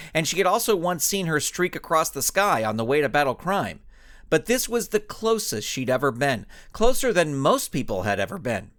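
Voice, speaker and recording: A man reading his own serialized story as an animated scripted narration. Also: fairly high pitch.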